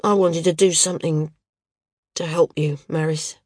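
A woman reading an audiobook, voicing a shy young male flyer who speaks softly and hesitantly. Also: low voice, steady tone, moderate pace, no room sound, studio quality. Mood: tender hesitant. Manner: hesitant, soft-spoken.

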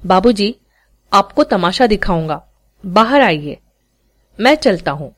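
A woman's voice: mid-range voice, very animated, takes it slowly. A woman narrator voicing a proud, self-reliant teenage boy street magician who speaks fluently and with confidence in a story. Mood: eager. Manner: fluent, unhesitating, proud speech.

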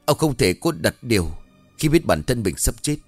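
A man's voice: lowish voice, animated, a little fast, dry recording, soft background music. A man voicing a hot-headed, vengeful grieving son in a horror story, snarling accusations in a Southern Vietnamese accent. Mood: furious, accusing. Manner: snarling, shouting accusations through clenched teeth.

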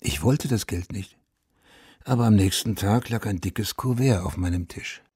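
An actor playing a haunted, self-accusing Vietnam War veteran who narrates a radio drama in a sober, confessional, terse way. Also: male voice, fairly low pitch, normal speed, darkish tone, husky, no echo. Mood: sober recollection. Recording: studio radio play with music underneath.

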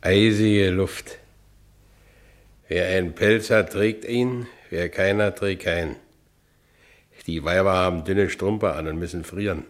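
Low-voiced, brooding ex-convict. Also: male voice, steady tone, slow, long pauses. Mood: wry musing. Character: down-and-out Berlin ex-convict man, brooding yet defiant. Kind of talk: radio drama acting, first-person narration.

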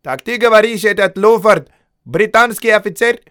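A man voicing a suspicious palace officer who speaks slowly with clipped, commanding words, in an audiobook. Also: sits high, sharp tone, clean tone, voice pushed up. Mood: stern.

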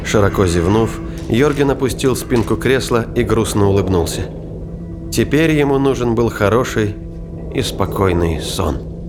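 A man's voice: on the low side, normal speed, music in the background. A man giving a scripted, dramatic narration of a science fiction story. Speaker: audiobook narrator.

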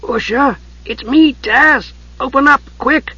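A man voicing a small, cheerful, guileless kender in an audiobook, with a high squeezed voice. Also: slow, bright, forceful tone, slightly rough, no echo, highs cut off, full character voice. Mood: urgent whisper.

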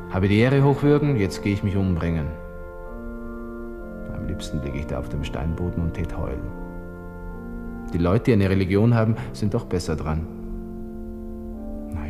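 A proud young Viennese army lieutenant with a low voice, brooding and self-dramatizing. He talks to himself in abrupt colloquial bursts, as in an old radio play with music. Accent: Viennese Austrian. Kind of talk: 1960s German radio drama inner monologue.

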